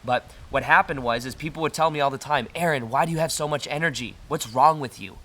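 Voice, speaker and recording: A man with an American accent and a naturally loud voice, talking earnestly in a casual motivational monologue to the camera. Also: mid-range voice, fast. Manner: earnest, fillers and restarts. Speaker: self-help video host. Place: retreat in Costa Rica.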